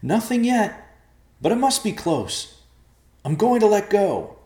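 Fantasy storybook hero: male reader as eager brave youth, raised pitch, roomy recording. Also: sing-song, slow, darkish tone, heavily acted. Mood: strained, excited. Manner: urgent, calling out.

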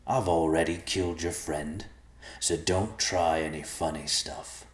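A man voicing a menacing, irritable stranger in a story, with a gravelly voice and a slow, threatening manner. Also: deep voice, roomy sound.

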